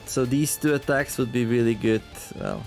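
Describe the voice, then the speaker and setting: A man commentating a tactics game as he plays it, reading the story text aloud. He rambles, corrects himself often and adds wry asides, and his voice has gone a little raspy after a long day of recording. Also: mid-range voice, wildly swooping pitch, normal speed, darkish tone, faint music behind. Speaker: gameplay commentator.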